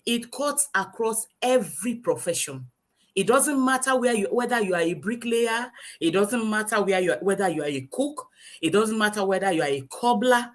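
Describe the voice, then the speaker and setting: A woman's voice: mid-range voice, animated, moderate pace. A woman, a guest English teacher lecturing earnestly in a webinar over a video call, repeating her points for emphasis.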